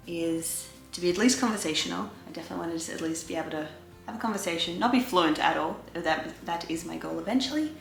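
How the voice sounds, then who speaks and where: Low-pitched young woman, roomy echo. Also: creaky. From Australia. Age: 20s. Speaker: vlogger giving a life update. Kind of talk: casual chat to viewers. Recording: roomy echo, faint music behind.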